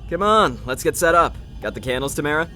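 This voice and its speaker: A man's voice: a little high, animated, fairly quick, bright, forceful tone, soft background music. An actor playing an enthusiastic, impatient, blunt young man in a casual audio drama. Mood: eager. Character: eager, blunt young friend keen on scares.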